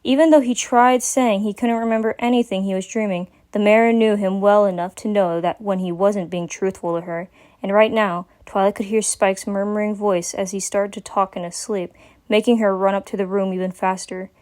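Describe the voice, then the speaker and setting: A woman's voice: mid-range voice, fairly even intonation, normal speed, darkish tone. A woman giving a dramatic reading of a scripted story as its narrator.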